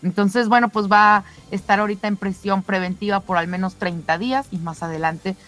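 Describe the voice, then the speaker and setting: A woman presenting the news in an informal, conversational way, somewhat halting and given to rhetorical questions. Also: mid-range voice, fairly even intonation, fairly bright tone.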